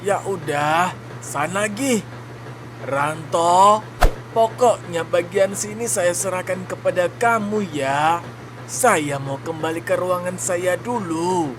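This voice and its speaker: A man's voice: high voice, slow, background noise. Man doing a bossy, chiding factory supervisor. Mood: businesslike. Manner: chiding, authoritative. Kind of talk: animated cartoon drama dialogue.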